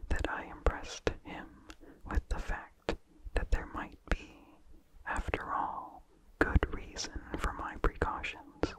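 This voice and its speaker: A narrator reading a vintage horror story entirely in a breathy, hushed ASMR whisper, with deliberate phrasing. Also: poor audio.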